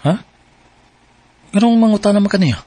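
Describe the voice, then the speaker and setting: Earnest husky-voiced young suitor. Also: male voice, high-pitched, animated, moderate pace, mellow, no echo. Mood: questioning. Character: earnest young man courting a woman. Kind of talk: radio drama acting.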